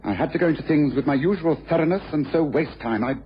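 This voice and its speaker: An actor playing a brisk, commanding detective in a radio crime drama, with an incisive, intense and self-reproachful manner. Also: male voice, fairly high pitch, natural voice. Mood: bitter self-blame. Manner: incisive, assertive, lecturing. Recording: dull, hissy old radio recording.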